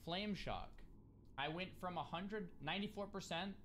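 A man's voice: sits high, moderate pace, slight background noise. A young man streaming a video game from a small room on a headset mic, puzzled and then thrilled as he talks through what is happening. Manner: excitable, puzzled, exclaiming. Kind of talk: live gameplay commentary.

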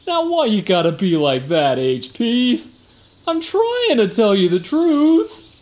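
A man's voice: sits high, wildly swooping pitch, slow, a little mellow, exaggerated voice. A man playing a boastful, evasive security guard in a comic radio drama, folksy, slangy and given to exaggeration. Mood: earnest. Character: boastful, evasive tough-guy security guard, a fibbing male cousin.

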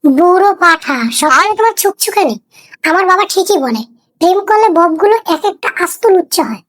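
A woman playing a bossy, scheming young girlfriend in a comic cartoon, coquettish and sharp-tongued. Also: very high-pitched, animated, brisk. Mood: scolding. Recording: music behind the voice.

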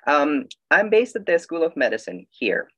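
A man's voice: on the high side, big pitch swings, moderate pace, fairly bright tone, breathy edge. Peruvian-born man giving an academic talk. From Peru. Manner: friendly, with fillers and restarts. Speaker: historian, conference speaker. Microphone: video call.

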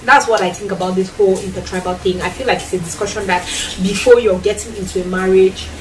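A woman's voice: mid-range voice, fast, distant mic, background noise. A woman in her thirties with a Cameroonian accent, chatting casually to camera while she cooks in a small tiled kitchen. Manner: animated, lots of hand gestures and acted-out quotes. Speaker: vlogger. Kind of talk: casual chat while cooking. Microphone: camera a few feet away.

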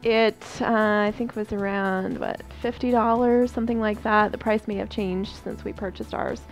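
A woman's voice: medium pitch, fairly even intonation, normal speed, faint music behind. A woman in her thirties chatting casually as a homesteading vlog host in a home kitchen.

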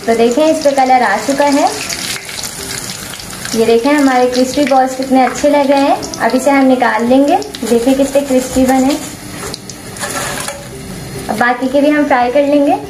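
A woman hosting a cooking channel, calmly talking viewers through a recipe step by step, with English cooking terms mixed into her speech. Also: high-pitched, normal speed, pauses often, noisy background. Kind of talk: recipe tutorial voice-over. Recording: echoey room with soft background music.

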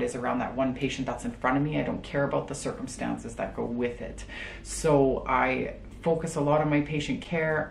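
Deep gravelly-voiced woman in her late 30s. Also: reverberant, a little hiss or hum. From Calgary, Canada. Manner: chatty, upbeat, many fillers. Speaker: travel nurse and vlogger. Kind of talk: casual talk to camera. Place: bedroom, indoors.

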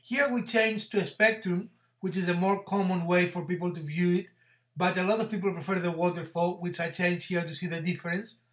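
A man in his fifties giving an explanatory hobby tutorial to camera, speaking in measured, halting phrases and repeating words. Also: sits high, steady tone, fairly bright tone. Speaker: presenter of a DIY hobby channel.

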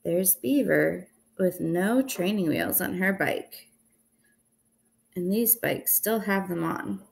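A young woman reading a children's picture book aloud in an expressive, deliberate way, recorded indoors at home. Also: lowish voice, gravelly, slight background noise. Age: young adult. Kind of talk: storybook read-aloud. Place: indoors in a small room at home.

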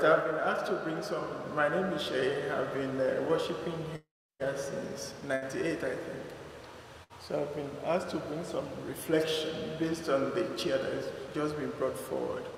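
A man with a Nigerian accent giving an unhurried, conversational reflection at a church service, with fillers as he remembers. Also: mid-range voice, vocal fry. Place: large church hall. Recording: echoey church hall, faint noise.

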